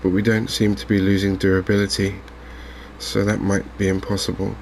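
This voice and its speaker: A man murmurs calm game commentary in a soft ASMR style. His low voice barely moves in pitch and often settles into vocal fry. He keeps an understated, unhurried manner, with faint noise and ambience behind him. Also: poor audio. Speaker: gaming video host.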